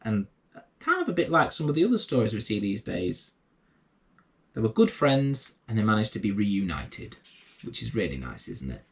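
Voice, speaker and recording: A man's voice: on the low side, big pitch swings, normal speed. A man hosting and reading a children's bedtime story aloud, expressively and addressed to young listeners. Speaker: bedtime story reader and host.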